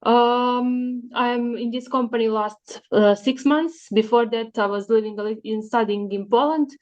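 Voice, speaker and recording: A woman, answering interview questions in an unhurried way with many fillers. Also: on the high side, fairly even intonation, a little mellow. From Serbia. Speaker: guest, data scientist. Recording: dull lo-fi sound.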